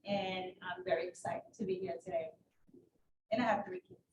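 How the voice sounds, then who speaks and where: Lively woman introducing herself on a panel. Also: on the low side, normal speed. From El Salvador, raised in New York. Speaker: panelist, food bank worker. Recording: roomy, distant mic with faint noise.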